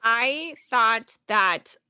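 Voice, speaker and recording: A woman's voice: a little high, expressive, drawn-out words, pushed and bright, no echo, studio quality. A young woman co-hosting a podcast, playful and laughing often as she talks about acting. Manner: playful, laughs a lot, theatrical. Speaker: podcast co-host. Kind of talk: casual chat recapping a TV episode.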